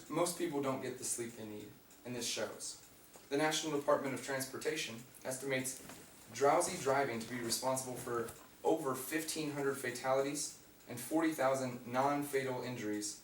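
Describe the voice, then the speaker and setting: Raspy young man, student speech, picked up from far off in an echoey room with faint hum. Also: mid-range voice, moderate pace, few pauses. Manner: reads from prepared notes with fillers and restarts. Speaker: student giving a class speech. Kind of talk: informative class speech. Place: classroom.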